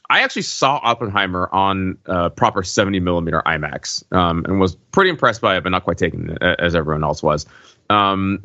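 Deep, bright-voiced man on a dull recording. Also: dry recording. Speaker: podcast host. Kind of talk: casual pop culture discussion.

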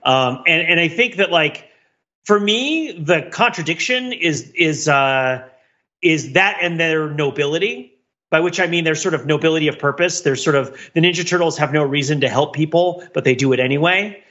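Male podcast panelist, sharp and bright, discursive and intellectual, on a dull lo-fi track. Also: a little high, moderate pace. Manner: discursive, emphatic, intellectual vocabulary. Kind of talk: casual analytical discussion.

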